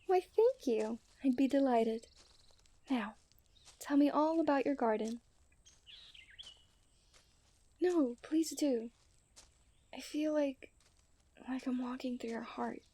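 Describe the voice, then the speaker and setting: Woman acting a mellow, genteel fairytale princess. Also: high voice, takes it slowly, pauses often. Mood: courtly, delighted. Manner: formal, genteel fairytale phrasing with breathy sighs. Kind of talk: scripted romantic ASMR roleplay.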